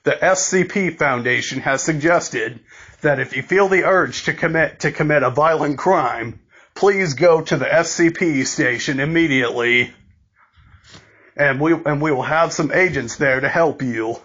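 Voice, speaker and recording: A man delivers an emergency alert in a somewhat high-pitched voice. He reads slowly and formally, halting and repeating words as he goes. The recording is dull and lo-fi. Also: fairly bright tone, breathy edge. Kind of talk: scripted emergency broadcast announcement, amateur reading.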